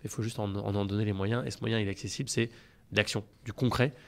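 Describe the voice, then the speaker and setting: Guy being interviewed on a podcast, earnest, kind of halting, repeats words as he goes. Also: on the low side, steady tone, gravelly. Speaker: podcast guest, company founder. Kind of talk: conversational interview answers.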